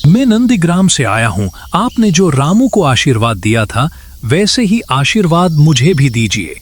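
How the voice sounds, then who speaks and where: Man acting a greedy villager, lively swooping voice. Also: fairly high pitch, normal speed. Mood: pleading. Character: greedy, scheming village man feigning poverty. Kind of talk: Hindi cartoon moral-tale voice acting.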